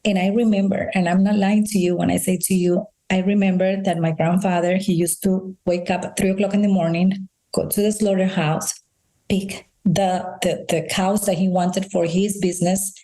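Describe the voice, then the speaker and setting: A woman's voice: medium pitch, level tone, moderate pace, warm tone, rough recording. A Spanish-speaking woman from Colombia with a Colombian accent, telling personal stories earnestly as a guest in a conversational interview. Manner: earnest storytelling, frequent restarts and "you know". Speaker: integrative health practitioner, guest.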